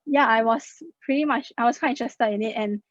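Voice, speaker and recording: A young woman talks her listeners through a slide presentation in a chatty, casual way. Her voice sits a little high. The sound is dull and very dry, with no room in it. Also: fairly quick, dry recording. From Singapore. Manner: chatty, many fillers like "uh" and "like". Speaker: scholarship student sharing her experience.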